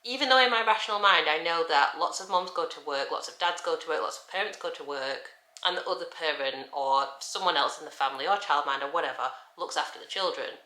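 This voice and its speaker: A woman, chatting candidly in a sit-down vlog. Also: fast talker. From England. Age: 30s. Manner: chatty and candid, lively shifts in pitch. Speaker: vlogger. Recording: echoey room.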